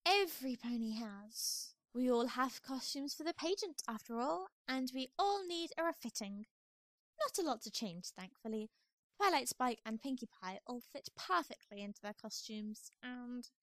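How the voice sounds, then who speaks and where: A woman acts out a posh lady pony from a cartoon-style audio play. The voice is high and swoops expressively, with fussy, genteel airs. It is recorded close and dry. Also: lively intonation, normal speed, no echo, lightly acted. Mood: brisk, fussy. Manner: affected, genteel, dramatic. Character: refined, theatrical female fashionista pony, fussy and posh. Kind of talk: amateur audio drama acting.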